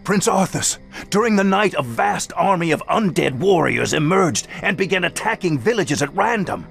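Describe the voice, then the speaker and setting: A man's voice: sits high, lively intonation, moderate pace, vocal fry, fairly bright tone, full character voice. An actor playing a dutiful, deferential soldier reporting to his lord in a fantasy video game. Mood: urgent. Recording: music behind the voice.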